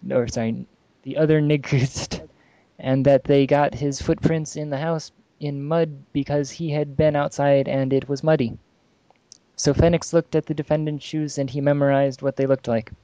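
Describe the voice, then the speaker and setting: A man casually reading amateur fan fiction aloud on a podcast, halting and amused, with asides. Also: fairly high pitch, dark tone, clear, resonant tone, no echo, lo-fi sound.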